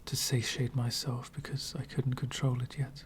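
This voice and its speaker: A man playing an elegant, centuries-old vampire gentleman, speaking softly and half whispering in a refined, wistful ASMR roleplay. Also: medium pitch, little pitch movement, lightly acted. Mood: composed, confessional. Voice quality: soft, breathy whispering. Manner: refined, wistful, slow and deliberate.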